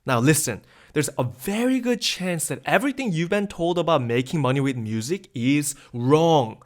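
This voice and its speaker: A man in his thirties from South Korea hosting a webinar, delivering an upbeat, persuasive scripted sales pitch. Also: expressive, moderate pace. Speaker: webinar host.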